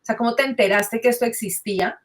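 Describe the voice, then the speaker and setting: A woman's voice, a little higher than most, hosts a podcast interview. She sounds enthusiastic and a bit halting, starting her phrases over and over as she works toward her question. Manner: enthusiastic, halting with many restarts. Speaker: podcast host.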